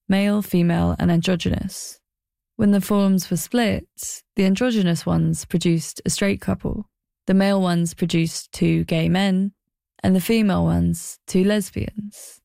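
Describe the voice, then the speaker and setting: A woman's voice: lowish voice, steady tone, unhurried, vocal fry, dark tone, no echo, clean studio sound. A woman presenting and narrating a personal documentary in a wry, thoughtful, conversational way.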